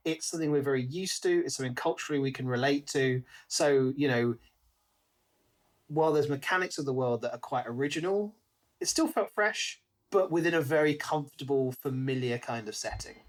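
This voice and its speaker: Male British podcast co-host, mid-range voice, casual and animated book talk. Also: medium pitch, a little fast. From Britain.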